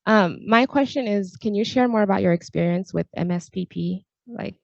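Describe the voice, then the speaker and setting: A woman's voice: medium pitch, normal speed, vocal fry, darkish tone, dry recording. A woman from Haiti speaking informally as an audience member at a symposium. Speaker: audience member asking a question. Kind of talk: spontaneous question from the room. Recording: dull lo-fi audio.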